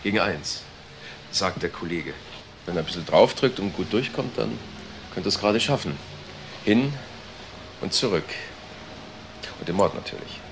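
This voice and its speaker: A junior detective in a German crime radio play. His fairly low voice gives factual reports in short phrases over clear background noise. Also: moderate pace, pauses often. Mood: matter-of-fact. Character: brisk, matter-of-fact male junior police detective.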